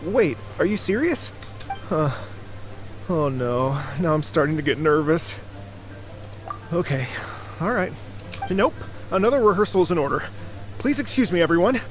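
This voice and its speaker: A man's voice: on the high side, animated, normal speed, long pauses, faint music behind, lightly acted. A man voicing an earnest, nervous and polite musician in a video game, with a hesitant, formal way of talking. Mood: flustered. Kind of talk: video game character dialogue.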